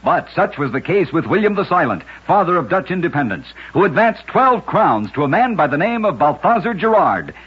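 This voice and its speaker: A very husky man's voice, slightly high and full of lively movement, tells scripted strange tales in an emphatic 1940s radio manner. The old recording sounds muffled. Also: brisk, few pauses. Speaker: radio storyteller, host.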